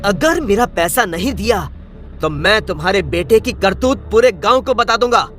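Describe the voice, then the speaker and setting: Sharp, high-voiced defiant male swindler. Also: animated, moderate pace, soft background music. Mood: threatening. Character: brazen, defiant blackmailing village swindler. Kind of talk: animated drama dialogue.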